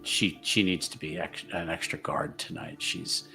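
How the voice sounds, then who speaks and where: A man voicing a gentle, protective guardian in tabletop roleplay, speaking in a measured, hesitant and soft-spoken way. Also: very deep voice, fairly even intonation, gravelly, soft background music, pitched below the performer's own voice, heavily acted. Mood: gentle, grave. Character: gentle, protective male guardian, calm and concerned.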